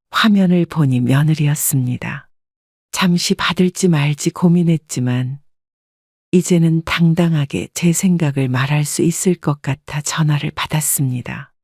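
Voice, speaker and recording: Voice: medium pitch, darkish tone, dry recording, studio quality. A narrator reading a scripted first-person story in a composed storytelling manner.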